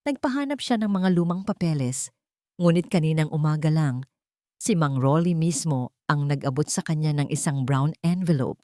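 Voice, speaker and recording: A woman's voice: low-pitched, lively intonation, normal speed, warm tone, no echo, studio quality. A woman narrating a scripted romantic drama in an emotive storytelling manner.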